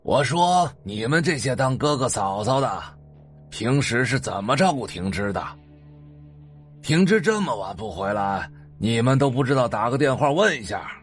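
A man voices a stern old grandfather and family patriarch for an audio drama. He sounds vigorous, at a middle pitch. Soft music sits behind him. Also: animated, moderate pace, natural voice. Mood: scolding.

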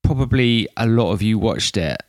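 A man with an English accent hosting a podcast, slightly hoarse, rambling casually with restarts and fillers. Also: fairly low pitch, lively intonation, normal speed, a little mellow, no echo, clean studio sound. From England. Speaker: podcast host. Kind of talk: casual monologue intro.

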